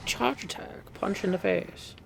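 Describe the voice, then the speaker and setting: A man's voice: high-pitched, a little slow, creaky, noisy background. A man giving casual, rambling, unscripted commentary while playing a video game. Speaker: gaming video host.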